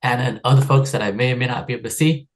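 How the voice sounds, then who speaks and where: A man's voice: medium pitch, racing. A man who grew up in the United States, leading a live online workshop as a stress and wellbeing coach over a video call, in an upbeat, chatty way with frequent laughs and fillers. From United States (Seattle area).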